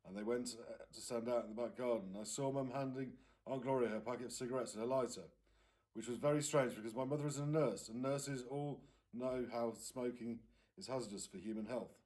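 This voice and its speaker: A British man reads a children's novel aloud in the manner of a primary teacher. His voice sits at a medium pitch. The narration is expressive and clearly performed for young listeners. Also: fairly quick. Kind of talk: expressive storybook reading aloud.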